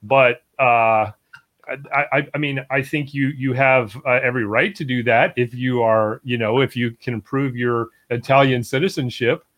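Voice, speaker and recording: A man's voice: mid-range voice, fairly even intonation, normal speed, fairly bright tone. Laid-back male co-host, dry humor. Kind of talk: casual chat.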